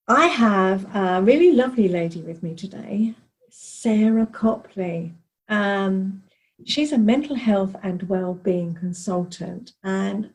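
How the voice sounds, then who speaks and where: A woman's voice: medium pitch, a little mellow, low-quality recording. A woman hosting a podcast interview, chatty and encouraging as she introduces and talks with her guest. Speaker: podcast host.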